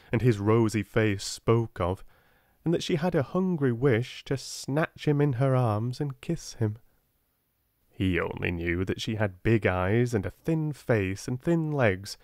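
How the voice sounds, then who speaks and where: A soft-spoken man with a British accent narrating a classic children's audiobook. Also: fairly low pitch, no room sound, studio quality.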